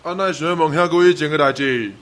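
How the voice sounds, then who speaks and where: A man's voice: on the high side, fast, a bit bright, close to an everyday voice. A man playing an elderly, chatty school janitor in a radio drama, with a Taiwanese accent and folksy interjections. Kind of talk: student radio drama acting.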